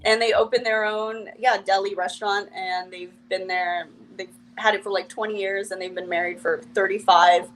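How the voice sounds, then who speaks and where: A young woman from Ukraine with a Russian accent, a comedian chatting as a guest, wry and quick to laugh. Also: medium pitch, fairly even intonation, a bit bright, slight background noise, rough recording. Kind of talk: casual interview chat.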